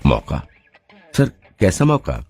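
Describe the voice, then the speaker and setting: A man's voice: low-pitched, wildly swooping pitch, takes it slowly, gravelly, a little mellow, breathy edge, faint music behind, heavily acted. A man voicing a young female martial arts student in an audio story, earnest, respectful and unsure of herself. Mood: hopeful, curious. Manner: deferential, earnest, pleading.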